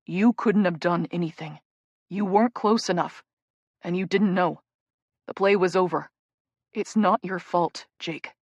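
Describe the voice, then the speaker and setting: A woman voicing a gentle young male hockey player who comforts his partner in low, soft murmurs. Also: measured pace, long pauses, no echo, dull audio. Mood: soothing.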